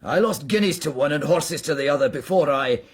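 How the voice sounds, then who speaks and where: A roguish gentleman of the world in a period radio play. His voice is raspy and rides up and down in a fairly high range. He teases with theatrical, sardonic relish. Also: expressive, brisk. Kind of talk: radio period drama acting.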